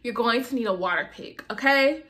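A young woman vlogging to camera from her bedroom, chatty and expressive, with a slight lisp. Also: on the high side, fairly quick. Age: young adult. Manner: chatty, expressive, lots of fillers. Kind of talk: casual chat to camera. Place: bedroom, indoors. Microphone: camera in front of her.

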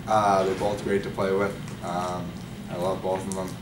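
A young man, a college hockey player, answering post-game press questions in a relaxed, halting way with many fillers. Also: deep voice. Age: young adult. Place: press room with backdrop. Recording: echoey room, far mic, loud hum.

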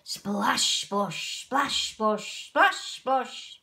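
A woman with a fairly high voice reads a picture book to young children. She takes it extremely slowly, stretching words out in a theatrical storytelling manner. Repeated phrases become chants, and sound words are acted out expressively. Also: expressive, sharp tone. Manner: theatrical, drawn-out delivery with chanted repetition and acted sound effects.

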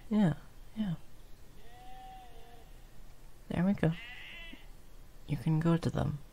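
A man plays a kindly werewolf in an audio roleplay, protective and soothing. The voice is mellow and creaky, with slow, soft, hesitant delivery that drops close to a whisper. There is some background noise behind it. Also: fairly high pitch, pitched above the performer's own voice. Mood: tender, encouraging. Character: gentle, protective male werewolf comforting a lost sheep. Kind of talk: improvised comfort roleplay, soft and intimate.

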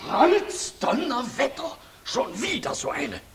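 Gravelly, blustering forest ranger. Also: male voice, very high voice, very animated, takes it slowly, full character voice. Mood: angry, threatening. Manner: blustering, commanding. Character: gruff, bullying male forest ranger.

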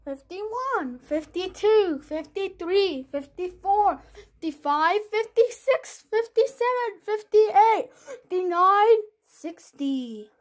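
An amateur fan performer with an extremely high, childlike voice does a playful homemade cartoon dub. The delivery is slow and unhurried, counting through numbers aloud. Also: lively intonation.

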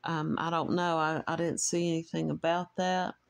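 Woman, Appalachian accent, lowish voice; true crime host reading case notes, measured and a little halting, with personal asides. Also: level tone, gravelly.

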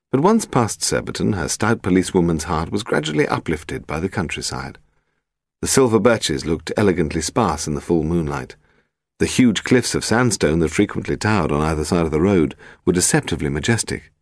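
A man narrating a detective novel as an audiobook, in a measured, expressive storytelling manner. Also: deep voice, no room sound, clean studio sound.

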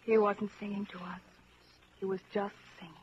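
A woman acts a tender, plaintive young lover with a medium-pitched voice. It comes from old radio drama, dull and clearly noisy. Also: level tone, normal speed, close to an everyday voice. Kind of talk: 1940s radio acting.

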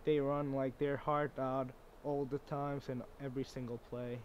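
A man's voice: mid-range voice, little pitch movement, normal speed, a little mellow. A teenage boy from South Korea, an international student, talking frankly and jokingly in an outdoor interview. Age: teens. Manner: frank and jokey. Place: outdoors on a lawn by woods. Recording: muffled, faint outdoor noise.

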